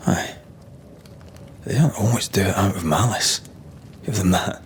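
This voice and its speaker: An actor playing a tender-hearted Scottish ghost-hunter in a video game, earnest and expressive. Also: male voice, low-pitched, unhurried, slight background noise. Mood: sombre, compassionate. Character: tender-hearted 17th-century male ghost-hunter, devoted lover. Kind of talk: dramatic game cutscene acting.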